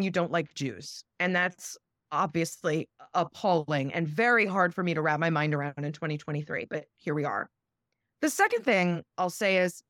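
An emphatic, indignant woman argues her point in a casual political chat and swears for emphasis. Her pitch swings widely as she talks. The recording is close and dry. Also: no room sound. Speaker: guest commentator.

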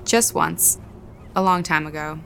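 Audio drama female, reserved and guarded, lowish voice, understated delivery, faint outdoor noise. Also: unhurried, fairly bright tone. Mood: reserved, hesitant. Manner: understated, noncommittal, brief answers. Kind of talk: naturalistic audio drama dialogue.

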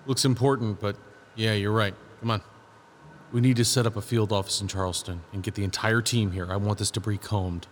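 A man's voice: fairly low pitch, fairly even intonation, fairly quick, a little hiss or hum, natural voice. A man playing a demanding, wry task force leader in a scripted audio drama, in dialogue and narration. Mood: urgent, commanding.

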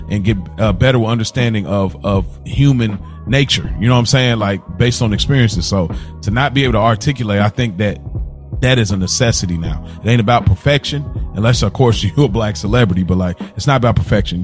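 A man speaks as a podcast co-host in a casual, conversational style. He explains himself emphatically, repeating phrases to drive points home, in a mid-pitched voice with music softly behind him. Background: background music.

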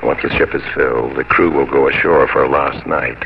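An actor playing a gallant, gruff English sea captain in a 1950s radio drama, narrating in a measured, declamatory way. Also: male voice, rumbling low, steady tone, noisy background. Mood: resolute. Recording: muffled 1950s radio broadcast, music behind.

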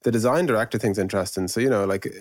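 Guy with a lowish voice talking product stuff casually, quick, dry close sound. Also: a little mellow, no room sound. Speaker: podcast guest discussing product principles and process. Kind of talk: casual conversational explanation.

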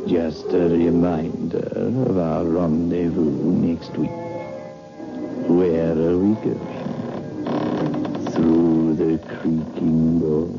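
An actor playing a sinister horror show host, drawn-out and deliberate, in 1960s radio style. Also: male voice, low voice, level tone, exaggerated voice. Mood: macabre relish. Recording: dull old radio recording, loud music.

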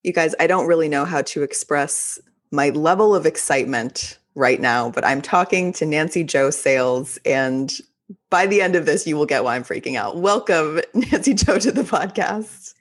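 A woman's voice: sits low, expressive, moderate pace. A young woman from Texas with a mild Texan accent, hosting a podcast in a chatty, effusive way. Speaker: podcast host. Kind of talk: casual solo podcast talk.

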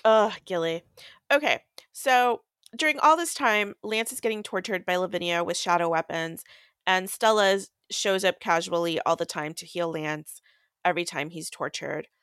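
A woman's voice: on the low side, moderate pace, fairly bright tone, smooth, dry recording, studio quality. A woman co-hosting a podcast, casually recapping a book in a rambling, slangy way. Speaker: podcast co-host.

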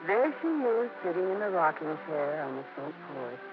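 Animated, fond radio narrator. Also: male voice, a little high, moderate pace, background noise. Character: grown child fondly, incredulously recalling parents' stage days. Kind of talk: theatrical radio narration over music. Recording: muffled old radio broadcast.

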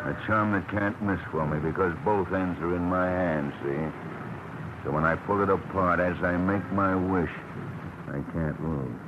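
An actor playing a smug, coolly menacing fat man in a 1940s radio drama, deliberate and mocking. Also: sits low. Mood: smug, threatening. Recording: muffled, noisy old radio broadcast.

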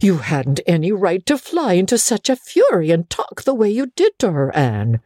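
A woman's voice: fairly low pitch, huge pitch swings, normal speed, warm tone, dry recording, studio quality, voice pushed up. A woman voicing a stern, upright middle-aged countrywoman who reproves firmly in a dramatic storybook reading. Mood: stern scolding. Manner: scolding, severe, firm.

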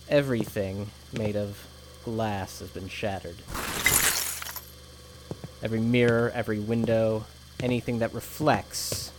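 An actor playing a cold, bureaucratic male investigator who dictates his report in a flat, clipped official manner, with a steady hum behind him. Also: on the low side, lightly acted. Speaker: government agent. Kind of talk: audio drama acting.

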